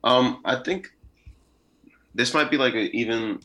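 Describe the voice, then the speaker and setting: A young man from Peru, a musician guest, talking casually in an interview with a relaxed, rambling manner and many fillers. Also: medium pitch, measured pace.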